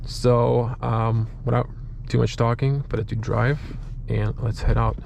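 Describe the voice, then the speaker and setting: A man's voice: mid-range voice, level tone, measured pace, muffled recording. A man with a Croatian accent, a native Croatian speaker, gives casual car review commentary in English as a second language, sometimes searching for words. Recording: clear vehicle and ambient noise. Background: car and ambient noise.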